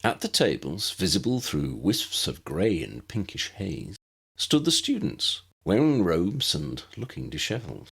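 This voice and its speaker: A man narrating a scripted story for an audiobook in an expressive storytelling manner. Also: low-pitched, unhurried, gravelly, slightly rough. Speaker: author narrating own fan fiction audiobook.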